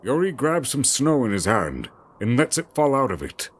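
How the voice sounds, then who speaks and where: A man's voice: mid-range voice, very animated, normal speed, husky. A man narrating an audio drama with dramatic scripted storytelling delivery. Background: music.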